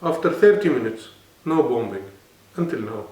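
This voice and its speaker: A man's voice: medium pitch, animated, normal speed, darkish tone. A man with an Arabic accent, speaking non-native English and explaining earnestly as a guest in a serious interview. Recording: echoey room, mic at a distance.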